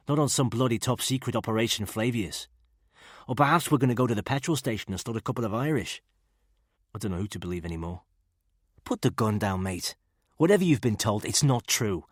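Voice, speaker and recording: A chummy, oozy ex-para with a thick Scouse accent, put on by a male narrator, his voice lifted high and loud over a low, husky base. The recording is close and dry. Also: lively intonation, a little fast, no room sound, natural voice. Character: affable scouse ex-para male, smooth-talking.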